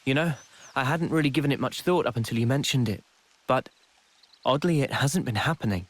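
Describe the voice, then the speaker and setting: A man playing an earnest, resolute young squad leader in a video game, measured and thoughtful in delivery. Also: mid-range voice, natural voice. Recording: music behind.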